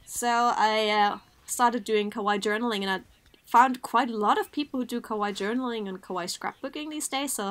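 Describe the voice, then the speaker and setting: A woman guest on a podcast who chats eagerly and laughs easily. Her voice sits a bit high and has a crisp, bright edge to it. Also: moderate pace. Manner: chatty, enthusiastic, laughs often. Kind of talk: casual chat.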